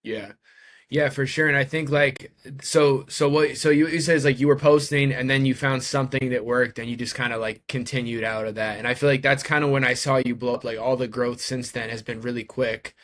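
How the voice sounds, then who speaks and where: A man interviewing someone in a relaxed, conversational way. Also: medium pitch, a little fast. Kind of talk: casual interview chat.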